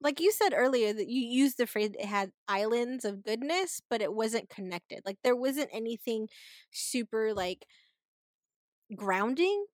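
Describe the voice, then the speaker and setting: A woman co-hosting a casual movie review chat, animated, laughing often and restarting her phrases. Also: on the high side, unhurried, no room sound, clean studio sound. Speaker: podcast co-host.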